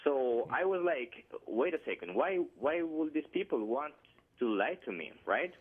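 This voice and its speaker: A man's voice: medium pitch, big pitch swings, normal speed, slightly rough. Colombian man on a tinny phone line. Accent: Colombian Spanish. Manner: hesitant, many fillers and restarts. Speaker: caller. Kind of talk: phone call-in conversation.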